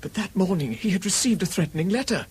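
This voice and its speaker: A man with a British accent playing a haunted, eccentric gentleman recluse in a radio drama, speaking formally and intensely in a husky voice. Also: high voice, expressive, fast, exaggerated voice. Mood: grave insistence.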